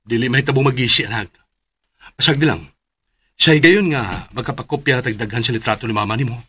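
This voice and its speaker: A man's voice: medium pitch, fast talker, sharp tone, slightly rough. A male actor playing a father searching for his wife in a Philippine radio drama, with a Cebuano Filipino accent. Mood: reassuring.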